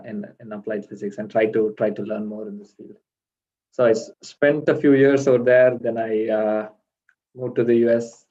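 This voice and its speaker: A man's voice: on the low side, mellow. Man giving a hesitant online talk. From India. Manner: hesitant, many fillers and restarts. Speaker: guest speaker. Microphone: video call. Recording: dull lo-fi video call audio.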